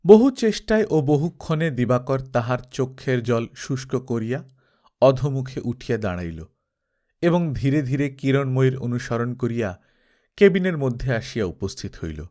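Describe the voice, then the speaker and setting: A man narrating a classic novel as an audiobook, with solemn, expressive and measured phrasing. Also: mid-range voice. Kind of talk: scripted literary narration, dramatic storytelling.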